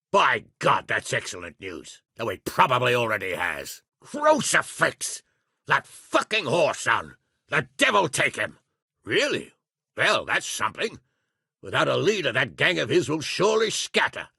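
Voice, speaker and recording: A hot-tempered medieval lord from a video game. His voice is very forceful and rough with rasp, swinging widely in pitch. He is blunt and commanding, every bit the coarse-tongued military leader. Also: no echo, clean studio sound, heavily acted. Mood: outraged. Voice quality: gravelly, raspy. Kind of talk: scripted game dialogue acting.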